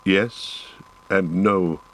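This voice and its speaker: A man acts out a proud but troubled fantasy warrior. He speaks slowly and guardedly, grim and evasive, as if weighed down by guilt. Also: mid-range voice, a bit bright. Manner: slow, hesitant, guarded.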